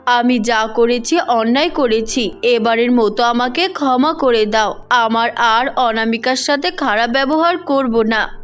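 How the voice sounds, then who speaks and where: A woman's voice: fairly high pitch, lightly acted. A woman playing a cruel stepmother in a cartoon, with insistent, repetitive demands and pleas. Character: cruel stepmother, accusing, then cowed and begging.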